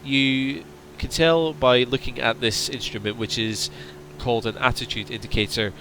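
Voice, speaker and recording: A man in the middle of his pitch range talks through a relaxed livestream, answering viewers as he goes. His voice is straining and turning hoarse from fatigue. A faint hum sits under the voice. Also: normal speed, poor audio. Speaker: flight sim streamer. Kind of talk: casual livestream chat.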